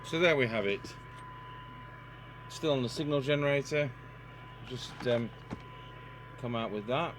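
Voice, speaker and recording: A man's voice: medium pitch, unhurried, long pauses. A man gives an unscripted hands-on repair demonstration, matter-of-fact and thinking aloud as he works. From United Kingdom. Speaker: radio repair technician and presenter. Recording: noisy workshop recording with electrical hum.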